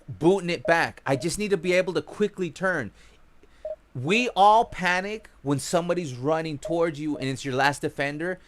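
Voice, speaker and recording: A man's voice: a little high, fairly bright tone, slight background noise. A young man from Costa Rica, a gaming streamer giving casual live commentary and tips while he plays. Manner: casual and chatty, coaching the viewers. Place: home-style room, not his own home.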